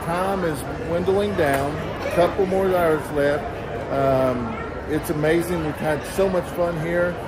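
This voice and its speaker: A man's voice: a little high, measured pace, a loud crowd. A man giving casual vlog narration while walking around an expo, filming himself on a phone at arm's length. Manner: upbeat, chatty walkaround. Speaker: farm vlogger. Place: large indoor expo barn. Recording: loud background noise in expo hall.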